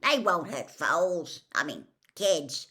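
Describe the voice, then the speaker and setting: A hulking half-giant with a blunt, loud, dismissive way of talking, as a woman narrator puts him on. His voice sits low with broad pitch swings. Read as an audiobook. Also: sing-song, slow, heavily acted. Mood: gruff. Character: huge gruff kindly half-giant man.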